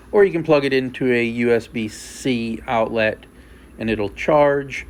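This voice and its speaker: A man narrating an unboxing and review, explaining features step by step in a plain, matter-of-fact way. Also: mid-range voice. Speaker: product reviewer.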